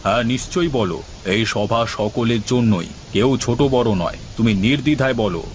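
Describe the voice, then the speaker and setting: A man's voice: mid-range voice, moderate pace, a little hiss or hum, pitched below the performer's own voice, lightly acted. A man voicing an anxious, pious, kindly old village headman in cartoon dialogue, speaking in a grave, fatherly way. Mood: grave, encouraging.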